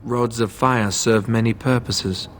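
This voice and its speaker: An actor playing an emotionless, procedural keeper of a magical stockroom in a video game, formal and detached. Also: male voice, mid-range voice, steady tone, measured pace, a little hiss or hum, natural voice. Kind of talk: scripted video game dialogue.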